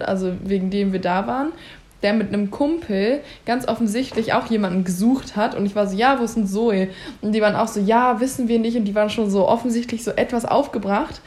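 A young woman in a casual chat, telling a story in a lively way and laughing often. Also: mid-range voice.

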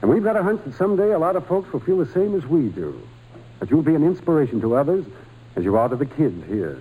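An actor playing a kindly, patient religious brother at a boys' school, gentle and hesitant, in an old-time radio drama. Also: male voice, on the high side, sing-song, fast talker, slightly rough, narrow, tinny audio. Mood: fatherly, earnest.

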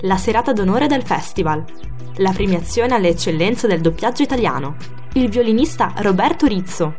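A woman's voice: medium pitch, lively intonation, normal speed, darkish tone, soft background music. A woman presenting a scripted festival report as host and narrator.

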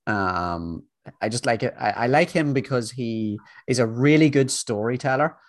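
A man's voice: medium pitch, normal speed, no echo. A man co-hosting a podcast in casual chat, rambling with many fillers and restarts. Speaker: podcast co-host.

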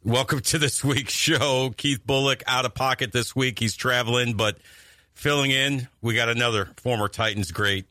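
A middle-aged man, a veteran sports anchor, hosting a podcast with a smooth broadcaster's delivery. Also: mid-range voice, steady tone, moderate pace, bright, forceful tone, dry recording, studio quality. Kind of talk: radio-style podcast hosting.

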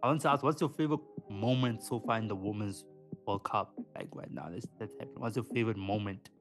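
A man, co-hosting a podcast in casual, slangy, animated chat. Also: on the low side, fast. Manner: animated, slangy, often says "man" and "dog". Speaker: podcast co-host. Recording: music playing underneath.

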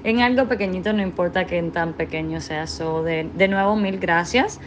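A woman's voice: fairly low pitch, normal speed, a little hiss or hum, rough recording. A Cuban woman in her thirties with a Cuban accent, a podcast guest speaking earnestly and dropping in English "so" now and then. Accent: Cuban Spanish. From Cuba. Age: 30s. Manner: earnest, drops English fillers like "so". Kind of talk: podcast interview. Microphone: remote call.

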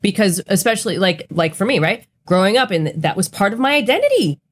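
A woman's voice: mid-range voice, very animated, a little fast, slightly rough. A woman co-hosting a podcast, talking in an earnest, emphatic, blunt way in casual conversation. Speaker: podcast co-host.

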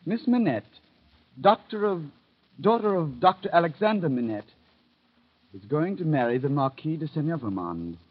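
A man acting in a 1930s radio drama as a sly, insinuating informer who probes with theatrical, deliberate pauses. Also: on the high side, very animated, slightly rough. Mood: sly, probing. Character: sly, insinuating male informer, probing and needling. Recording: dull, muffled vintage radio recording.

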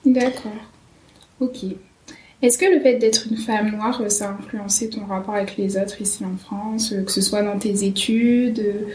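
A woman's voice: mid-range voice, steady tone, moderate pace, dark tone, rough recording. A woman interviewing a guest in a casual way, with short prompts. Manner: brief encouraging prompts.

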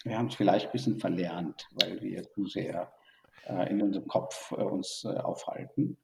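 A man's voice: low-pitched, steady tone, moderate pace, vocal fry, far from the mic, noisy background. A man from Burma, now living in Germany, speaking as an interview guest in a reflective, hesitant way with many fillers. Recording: echoey room, off-mic.

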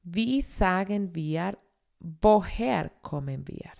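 A young woman teaches German in a podcast lesson, repeating each phrase slowly for learners. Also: lowish voice, expressive, gravelly, darkish tone. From Colombia.

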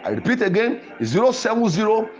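Guy preacher doing intense repetitive prayer declarations, really swingy pitch, music playing behind him. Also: very animated, quick pace. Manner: forceful, repetitive, chanting declarations. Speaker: preacher leading a prayer podcast. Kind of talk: fervent Pentecostal prayer and exhortation.

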